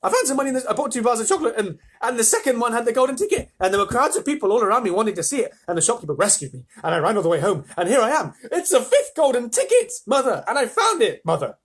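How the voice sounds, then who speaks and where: A reader voicing an excited, overjoyed young boy in a storybook, shrieking and gabbling. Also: medium pitch, fairly quick, hardly any pauses, breathy edge, pitched above the performer's own voice. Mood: breathless, excited.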